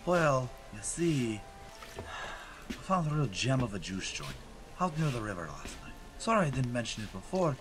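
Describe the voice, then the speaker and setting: An actor playing a nervous, hotheaded Irish gangster informant in an old-time radio drama, with an Irish accent. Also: male voice, medium pitch, very animated, normal speed, fairly bright tone. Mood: anxious. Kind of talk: 1930s radio drama acting.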